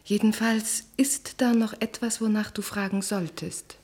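An actress in a German radio play as a composed, gently insistent wife with a breathy, husky voice. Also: female voice, medium pitch, fairly even intonation, measured pace, natural voice.

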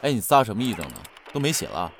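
A man in his twenties playing the aloof, steady eldest member of an idol group, dry and composed. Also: fairly low pitch, fast talker, music in the background. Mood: irritated. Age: 20s.

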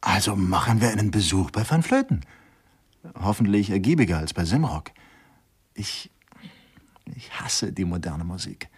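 An actor playing a gruff, baffled policeman in a radio crime play, grumbling in a very raspy voice. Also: on the low side, sing-song, normal speed, long pauses, a little hiss or hum. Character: gruff, baffled male policeman who hates modern art. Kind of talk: 1990s German radio play acting.

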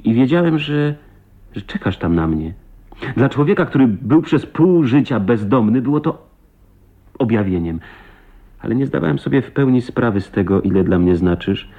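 Husky, low-voiced ardent man in radio drama. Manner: impassioned, emotional, halting delivery. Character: ardent proud man pleading his love to his wife. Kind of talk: 1980s Polish radio drama acting. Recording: dull lo-fi radio play recording.

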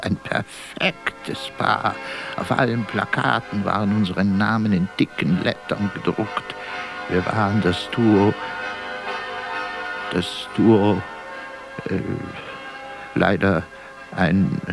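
An actor playing a faded old vaudeville performer in a radio play, vain and forgetful, talking theatrically and haltingly with a gravelly, husky voice. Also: male voice, fairly low pitch, steady tone, music in the background, poor audio. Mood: wistful, halting. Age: older. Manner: theatrical, halting, breaking off and repeating himself. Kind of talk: radio drama monologue.

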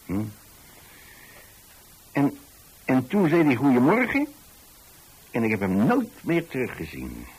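A man's voice: medium pitch, huge pitch swings, slow pace, pauses often, darkish tone, slightly rough, exaggerated voice, low-quality recording. An actor playing an older, plain-spoken pensioner in a radio drama, telling his story in a hesitant, repetitive, folksy way. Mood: wistful, puzzled. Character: rambling, plain-spoken old pensioner who takes in lodgers. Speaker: narrator of a radio play.

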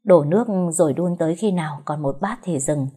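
A woman's voice: low-pitched, brisk, mellow, breathy edge. A woman voicing a sickly young man who murmurs weakly. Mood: murmuring. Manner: weak, murmuring. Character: weak, sickly young master, soft-spoken.

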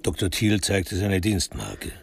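A man narrating a literary crime radio drama in a measured, precisely articulated way. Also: low-pitched, big pitch swings, gravelly, husky.

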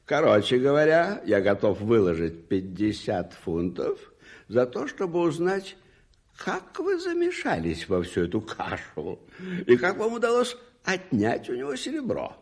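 A lordly gentleman in a radio drama. He speaks slowly and emphatically in a husky voice, with intonation that swings dramatically up and down. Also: medium pitch, huge pitch swings, full character voice. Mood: eager. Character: puzzled, lordly English gentleman of a club. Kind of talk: 1980s radio play acting.

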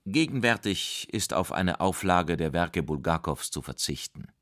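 A man's voice: low-pitched, animated, measured pace, fairly bright tone, dry recording, studio quality. An actor playing a solemn writer reading his weighty recollections of a friend in a radio documentary drama. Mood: official, flat.

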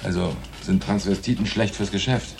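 A man's voice: low-pitched, normal speed, gravelly, darkish tone, slight background noise. A man playing a blunt, skeptical veteran police detective with terse questions in a German radio crime drama. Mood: curious, dry.

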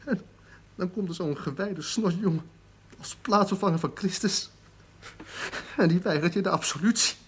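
A man's voice: high voice, moderate pace, pauses often, fairly bright tone. An actor playing a bitter, tormented young male sinner in a television drama, sarcastic and desperate, swinging from muttering to outbursts. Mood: anguished, raging. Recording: noisy 1970s TV soundtrack.

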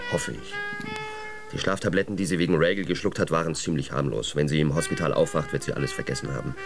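A man's voice: very deep voice, huge pitch swings, quick pace, music in the background, exaggerated voice. A man acting in a German radio drama as a tense, officious husband and dutiful official, speaking in a clipped, urgent way. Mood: controlled, uneasy.

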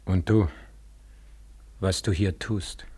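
An actor playing an accusing, ghostly one-legged giant in a 1940s radio play, solemn and menacing with a husky, hollow voice. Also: male voice, sits low, fairly even intonation, slow, dark tone, exaggerated voice.

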